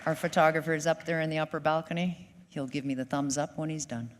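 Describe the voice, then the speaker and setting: Woman mc at a graduation, lowish voice, long hall echo, chill and jokey. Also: fast. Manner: relaxed, joking announcer. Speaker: ceremony host. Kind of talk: ceremony announcements. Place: large theater hall. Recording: reverberant hall with faint noise.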